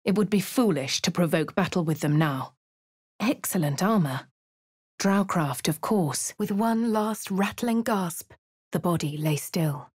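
An actress voicing a posh young adult woman adventurer with an upper-class English accent, narrating her actions in a measured, clipped way. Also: fairly low pitch, lively intonation, slightly rough, no room sound, clean studio sound. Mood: dry, cool.

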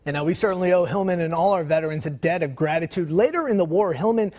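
A man's voice: fairly high pitch, fast, a little mellow. A young man reading scripted news narration as a television anchor. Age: young adult. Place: TV news studio.

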